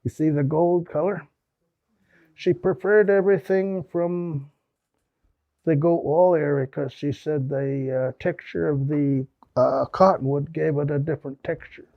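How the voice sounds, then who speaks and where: A man's voice: fairly high pitch, fairly even intonation, a little slow, gravelly, no room sound, dull audio. An older man from the Pacific Northwest telling folksy, rambling stories to an audience as a guest speaker. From Pacific Northwest, United States. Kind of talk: storytelling talk with audience questions. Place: small hall with audience.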